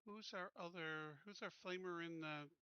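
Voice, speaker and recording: A man's voice: sits high, fairly even intonation, moderate pace, smooth, no room sound. A man talking casually as a tabletop game player, discussing his tactical moves over muffled audio. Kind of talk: casual tactical chat during game play.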